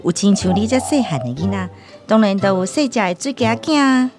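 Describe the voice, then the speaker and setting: An actress playing a kindly aunt, gently explaining something to a small child in a radio drama. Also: female voice, medium pitch, lively intonation, brisk, soft background music, close to an everyday voice. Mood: gentle.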